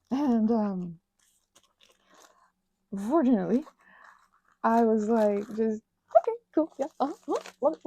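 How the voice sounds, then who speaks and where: A woman hosting an ASMR channel, rambling in a chatty, giggly way with lots of fillers and a breathy voice that slips into whispers. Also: mid-range voice, dark tone, rough recording. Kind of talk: soft-spoken rambling chat.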